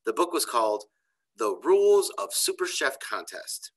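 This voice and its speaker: A man's voice: on the high side, sing-song, unhurried, fairly bright tone. A man in his forties, a schoolteacher, reading a children's storybook aloud with expression. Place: bright indoor school room. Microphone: computer webcam on a video call.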